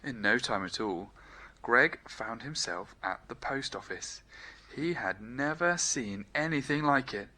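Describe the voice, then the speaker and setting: Lively, bright-voiced man reading a story. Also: mid-range voice, measured pace. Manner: animated, emphatic, playful. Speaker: storyteller reading a children's book aloud. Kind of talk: expressive children's story reading.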